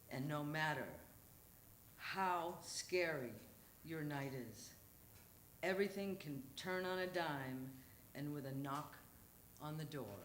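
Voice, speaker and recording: Expressive woman reading in a roomy theatre. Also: low-pitched. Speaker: reader at a live storytelling show. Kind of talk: expressive live reading of a memoir essay. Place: theatre stage at a live show. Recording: roomy live stage recording, faint noise.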